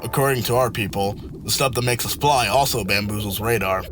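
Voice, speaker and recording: An actor playing a brisk, no-nonsense airship captain in an audio drama. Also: male voice, lowish voice, close to an everyday voice. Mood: businesslike, firm. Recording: music behind.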